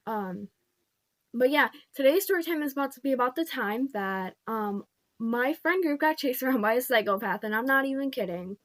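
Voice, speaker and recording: A young woman with a somewhat high, congested voice tells a story to her channel. She rambles and circles back, leaning on "like" and "pretty much". The sound is close and dry, with no room around it. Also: animated, normal speed, no echo. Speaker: vlogger. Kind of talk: casual storytime.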